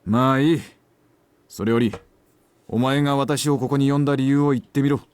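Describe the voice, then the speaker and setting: A man's voice: mid-range voice, steady tone, racing, a little mellow, smooth, lightly acted. An actor playing a stern, middle-aged police officer father in a visual novel, blunt and questioning. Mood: stern, probing. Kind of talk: Japanese visual novel voice acting. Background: game music.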